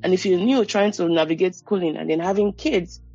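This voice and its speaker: A woman host speaks in a thoughtful, encouraging way and pauses to reflect between her questions. Her audio sounds slightly dull and muffled. Faint music plays underneath. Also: on the low side, mellow. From Nigeria. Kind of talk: interview questions and reflective comments.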